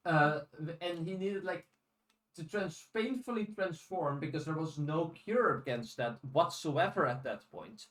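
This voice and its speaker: A man co-hosting a podcast in a casual discussion, speaking haltingly with restarted phrases and casual swearing. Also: a little high, a bit bright. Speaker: podcast co-host. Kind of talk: casual discussion of audiobooks and fiction. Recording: roomy echo, distant from microphone.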